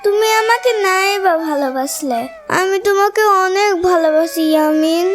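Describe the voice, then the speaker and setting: Long-suffering young village wife for cartoon melodrama played by a woman: meek, devoted, extremely high, small voice over clear music. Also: moderate pace. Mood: tearful, devoted. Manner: pleading, submissive. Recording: music under the voice.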